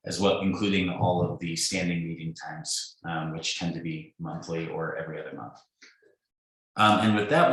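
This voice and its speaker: Very low-voiced male meeting chair in a heavily echoing room. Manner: polite, steady with fillers. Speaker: meeting host. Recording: echoey room on a call.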